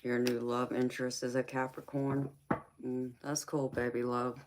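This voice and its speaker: A woman's voice: extremely low pitch, flat delivery, moderate pace, a little mellow, slight background noise. A woman in her forties, a tarot reader chatting casually with viewers on a live stream and answering their comments by name. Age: 40s.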